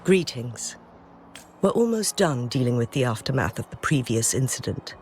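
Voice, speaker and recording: A man's voice: medium pitch, sing-song, moderate pace, a little hiss or hum, lightly acted. A man voicing a polite, dutiful officer in a video game, formal and businesslike. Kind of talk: scripted video game voice acting.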